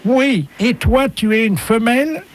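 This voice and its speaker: A man's voice: very high voice, expressive, pitched above the performer's own voice. A man voicing a plaintive, lovesick dolphin in a hesitant, earnest way on a prank phone call. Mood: timid, curious. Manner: slow, plaintive, hesitant declarations. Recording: phone prank recording with faint noise.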